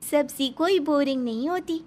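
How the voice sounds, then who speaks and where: A woman's voice: high-pitched, normal speed, darkish tone, pitched above the performer's own voice, lightly acted. A woman voicing a sweet, obliging young daughter-in-law in a cartoon story, polite and eager.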